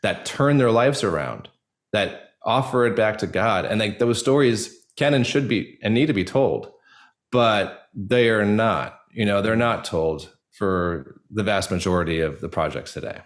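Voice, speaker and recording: A man speaking casually and informally as an interview guest, in a halting way with many filler 'likes'. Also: on the low side, animated. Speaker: actor, podcast guest. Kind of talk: casual personal interview talk.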